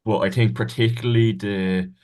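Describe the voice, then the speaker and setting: Guy in a band chatting on a call, really low voice, lots of ums. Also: normal speed. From Ireland. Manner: relaxed, hesitant with many ums and restarts. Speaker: band member, guest. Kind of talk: casual interview answers.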